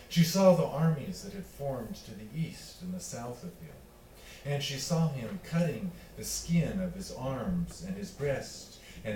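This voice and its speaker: Male reader for literary fiction: relaxed, mellow timbre, measured phrasing, recorded at a distance in a reverberant room. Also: mid-range voice. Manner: measured, deliberate phrasing with pauses. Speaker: author reading own work. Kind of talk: literary reading of narrative fiction. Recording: roomy, distant miking with faint noise.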